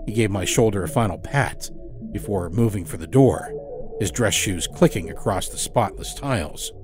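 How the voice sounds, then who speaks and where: A man telling a scripted first-person horror story as storyteller, dramatic and expressive. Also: lowish voice, normal speed, music in the background. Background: musical score.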